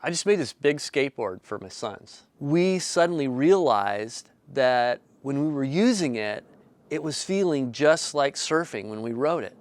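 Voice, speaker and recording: A man in his fifties, a company founder earnestly explaining his invention in a pitch video, drawing out key words as he talks. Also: lively intonation, unhurried, no room sound. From Southern California. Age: 50s. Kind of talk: pitch video talk to camera.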